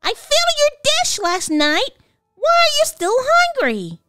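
A bright, eager little girl pitched way up high, squealing as she talks, put on by a woman reading a storybook aloud. Her voice leaps all over the place, dry and close with faint music underneath. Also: huge pitch swings, takes it slowly, dry recording, exaggerated voice. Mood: excited. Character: excited young girl detective.

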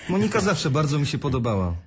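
An actor playing a hurt, defensive younger brother in a radio drama. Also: male voice, fairly high pitch, sing-song, fast talker. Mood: tense.

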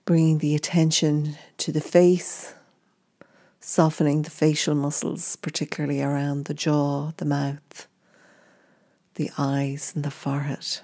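Female meditation guide. Deep, soft-toned delivery, very measured, with gentle phrase-by-phrase instruction. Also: completely flat delivery.

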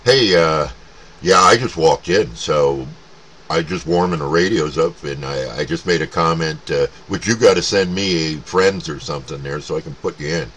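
A man's voice: rumbling low, moderate pace, fairly bright tone. An American man chatting casually as a CB radio operator, using radio jargon. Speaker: CB radio operator and channel host. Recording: faint background noise.

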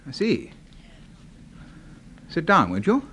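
A pompous, deranged brigadier with an English accent. His fairly high voice rises and falls dramatically, clipped and used to obedience, in the manner of 1970s radio horror. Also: wildly swooping pitch, slow pace, vocal fry, pushed and bright, a little hiss or hum, heavily acted. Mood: brusque, puzzled. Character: pompous, deranged brigadier boss, secretly a serial killer.

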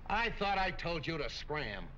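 Brusque, animated tough police captain. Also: male voice, fairly high pitch, measured pace, slight background noise, heavily acted. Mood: gruff, sardonic. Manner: brusque, hard-boiled. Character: gruff, blunt, tough male police captain. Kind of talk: 1940s crime film acting. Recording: dull old film soundtrack with music.

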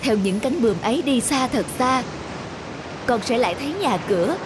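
Gentle, thoughtful cartoon father. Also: very high-pitched, animated, normal speed, rough recording. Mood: warm, reflective. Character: gentle young architect father, thoughtful and loving. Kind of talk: animated moral tale dialogue. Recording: music behind the voice.

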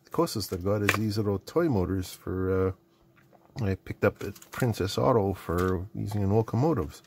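Middle-aged man, low-pitched, casual rambling hobbyist commentary, muttered asides, noticeable background noise with clicks. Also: lively intonation, moderate pace, creaky, a little mellow. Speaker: host of an electronics mailbag video. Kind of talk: casual unscripted bench commentary while unboxing and testing gadgets. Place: indoor electronics workbench.

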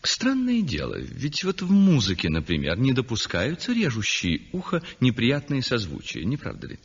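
An actor playing a self-satisfied, dismissive painter in a 1960s radio drama, declaiming with rhetorical self-assurance. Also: male voice, mid-range voice, huge pitch swings, moderate pace, breathy edge, exaggerated voice. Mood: indignant, scornful. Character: self-satisfied aesthete male landscape painter, dismissive of ugly art. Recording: muffled 1960s radio recording, long reverb.

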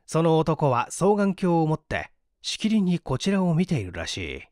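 A man narrating a mystery story as an audiobook, with an expressive, dramatic delivery. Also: on the high side, moderate pace, no room sound, studio quality. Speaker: audiobook narrator, stage actor.

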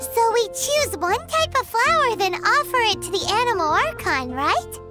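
Tiny game fairy, extremely high squeaky child voice, bossy, chirpy, loud music behind. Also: female voice, big pitch swings, moderate pace. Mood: chirpy, curious. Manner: perky, indignant, emphatic. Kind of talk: cartoonish video game character acting.

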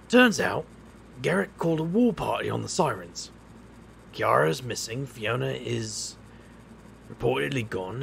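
A man's voice: mid-range voice, gravelly, a bit bright, slight background noise, full character voice. An actor playing a wry, defiant male street magician, narrating his noir tale in a sardonic, dramatic manner. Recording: music behind the voice.

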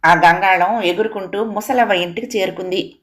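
A woman narrates a scripted moral tale for children. Her pitch sits in the middle range for a woman. She speaks as a storyteller. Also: fairly even intonation, moderate pace, a bit bright.

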